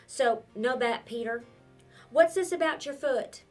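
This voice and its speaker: A woman voicing a wary, blunt middle-aged woman with a wooden leg, who fires off sharp, probing questions. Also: high-pitched, measured pace, pitched above the performer's own voice. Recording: distant mic with faint instrumental music.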